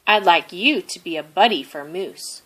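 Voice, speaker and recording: A woman voicing a kindly, patient male owl schoolteacher in a storybook, gentle and instructive. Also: fairly low pitch, animated, normal speed. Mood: polite instruction.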